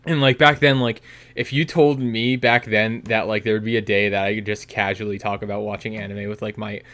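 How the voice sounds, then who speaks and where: A man's voice: fairly low pitch, flat delivery, brisk, a bit bright. A young man co-hosting a podcast, talking casually with constant "like" fillers and jokes. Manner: rambling, many "like" fillers, joking. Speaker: podcast co-host. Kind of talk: casual chat.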